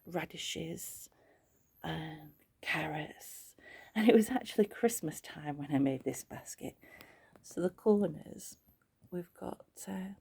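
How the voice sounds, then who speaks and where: Soft-spoken sniffly English woman. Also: deep voice, vocal fry, breathy edge. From England. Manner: soft, often half-whispered, chatty. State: sniffly with hay fever. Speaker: home tour vlogger. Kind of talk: casual home tour narration. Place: cottage bedroom, indoors.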